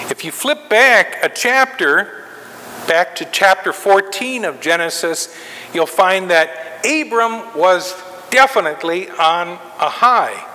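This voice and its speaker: A middle-aged man preaching a sermon from a pulpit, measured and emphatic with long pauses, in a large wood-paneled church sanctuary. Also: sits high, pushed and bright, slight background noise. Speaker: pastor. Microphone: far camera, pulpit mic. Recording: reverberant church hall.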